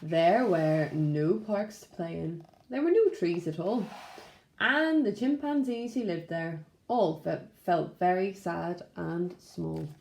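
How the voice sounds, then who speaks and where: A woman's voice: lowish voice, takes it slowly, gravelly, a little mellow, slight background noise. A woman speaking expressively to young children like a primary teacher, in a storybook read-aloud style. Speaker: primary school teacher reading to class. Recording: roomy, echoing room sound.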